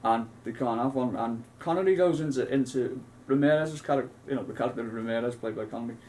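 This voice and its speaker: A man's voice: mid-range voice, quick pace, darkish tone, slight background noise. Rambling man talking films. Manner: rambling, many restarts and asides. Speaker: co-host. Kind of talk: casual film discussion.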